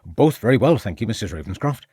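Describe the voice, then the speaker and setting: A man's voice: lowish voice, big pitch swings, fast talker, dark tone, slightly rough, no room sound, clean studio sound, full character voice. A man playing a respectful young constable. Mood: earnest. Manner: deferential, earnest reporting. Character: young red-faced village constable, respectful.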